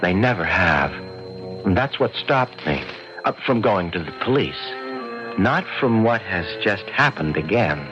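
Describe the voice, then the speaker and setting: A man's voice: low-pitched, sing-song, unhurried. An actor playing a meek, aggrieved man in a radio drama, speaking in a hesitant, pedantic and plaintive way. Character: fussy, meek, aggrieved male artist and chronic complainer. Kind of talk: old-time radio drama acting. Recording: dull vintage radio recording with music.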